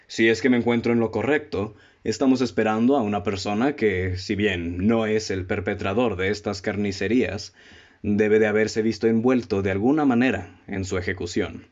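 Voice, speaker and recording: Radio play detective, male actor: Mexican accent, deep-ish, deliberate and erudite, sure of himself, dull lo-fi sound. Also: steady tone, close to an everyday voice. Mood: confident, explaining. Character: self-assured analytical amateur detective, adult man, methodical and cryptic. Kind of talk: radio drama acting.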